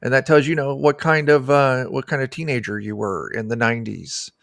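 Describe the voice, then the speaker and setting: A middle-aged man explaining things casually and haltingly as an interview guest, speaking into a desk microphone. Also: mid-range voice, vocal fry, a bit bright, no room sound, studio quality. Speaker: guest, tabletop game designer. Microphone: desk microphone on a video call.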